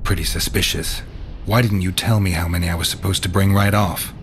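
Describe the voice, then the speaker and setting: An actor playing a gruff, wary mercenary monster hunter in a video game, terse and deadpan. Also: male voice, deep voice, brisk, slight background noise, natural voice. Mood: blunt, suspicious.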